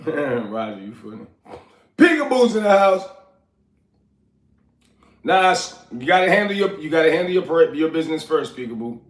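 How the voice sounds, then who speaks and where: A man's voice: fairly high pitch, big pitch swings, moderate pace, pauses often. A man in his forties from Liberia, livestreaming from his apartment in a loose, rambling way, answering chat and still getting over a sickness. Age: 40s. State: recovering from illness, coughing. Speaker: livestream host. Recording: echoey room.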